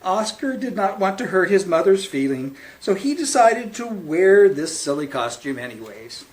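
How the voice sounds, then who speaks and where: A man reading a picture book aloud to children as a librarian at storytime, with theatrical, deliberate phrasing. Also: high-pitched, big pitch swings, a little mellow.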